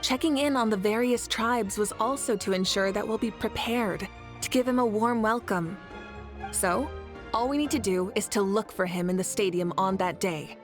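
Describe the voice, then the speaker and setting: A woman's voice: mid-range voice, normal speed, natural voice. A woman voicing a confident, passionate adult ruler in a game, teasing and laughing easily. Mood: assured. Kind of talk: game voice acting. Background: faint game music.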